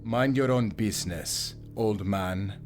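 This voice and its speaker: Man as a slow, cold, menacing villain. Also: lowish voice. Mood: cold menace. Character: menacing, cold exiled villain brother, resentful and confident. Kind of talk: audio drama acting. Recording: music behind the voice.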